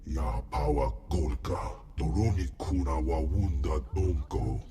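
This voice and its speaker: Very deep, ponderous alien crime lord. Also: male voice, unhurried. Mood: blustering, imposing. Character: huge slug-like male alien crime-lord businessman, blustering. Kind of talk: alien-language video game acting.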